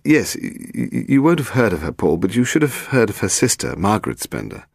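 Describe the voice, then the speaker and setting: A man voicing an anxious, hesitant male publisher in an audiobook, halting and stammering as he talks. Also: lowish voice, huge pitch swings, gravelly, breathy edge, dry recording, clean studio sound, voice pushed up, heavily acted. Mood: hesitant, worried. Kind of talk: audiobook character voice.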